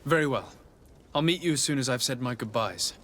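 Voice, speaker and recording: An actor playing a polite, composed young nobleman in a video game, formal and measured. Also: medium pitch, lively intonation, background noise, lightly acted. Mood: subdued. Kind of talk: video game character acting.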